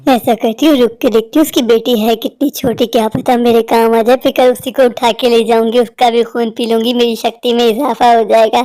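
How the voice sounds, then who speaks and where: A woman's voice: on the high side, level tone, brisk, few pauses, mellow, natural voice. A woman voicing a cruel, hungry witch in a cartoon story, menacing, taunting and boastful. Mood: scheming. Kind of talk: Hindi cartoon story acting.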